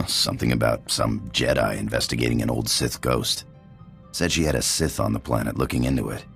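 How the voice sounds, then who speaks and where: A roguish space pirate from a video game, with an extremely deep, gravelly voice. He is laid-back and wry, and talks over faint music. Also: steady tone, moderate pace, fairly bright tone, full character voice. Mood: wry, matter-of-fact. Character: easygoing, roguish male space pirate companion.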